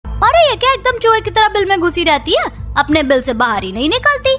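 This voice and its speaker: Woman acting a very high-voiced nosy auntie. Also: very animated, a little fast, a bit bright, faint music behind, heavily acted. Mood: teasing, nosy. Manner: effusive, nosy. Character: chatty, teasing middle-aged neighbour auntie. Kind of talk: Hindi cartoon dialogue acting.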